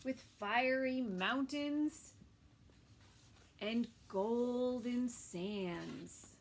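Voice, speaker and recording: A woman reading a picture book aloud to toddlers as a story time reader, with sing-song phrasing and soft asides. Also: fairly high pitch, extremely slow, a little hiss or hum.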